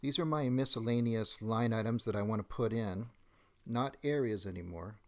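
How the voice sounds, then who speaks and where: A middle-aged man narrating a software demonstration step by step, methodically thinking aloud as he goes. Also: on the low side, moderate pace.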